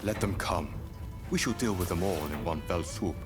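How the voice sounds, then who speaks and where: An actor playing a composed, loyal but calculating military commander in a video game cutscene, formal and measured, with loud music underneath. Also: male voice, sits low, close to an everyday voice. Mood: composed resolve.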